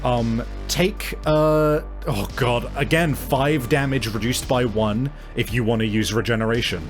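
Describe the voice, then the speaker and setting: A man narrating a tabletop roleplaying game as game master, speaking casually and haltingly, with some swearing. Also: mid-range voice. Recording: music playing behind. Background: background music.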